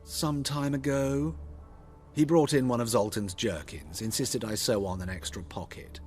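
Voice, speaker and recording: An actor playing a flamboyant, witty cross-dressing tailor in a fantasy video game, with a theatrical, coy delivery and drawn-out phrasing. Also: male voice, mid-range voice, darkish tone, faint music behind, natural voice. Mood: amused, frank. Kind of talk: video game dialogue acting.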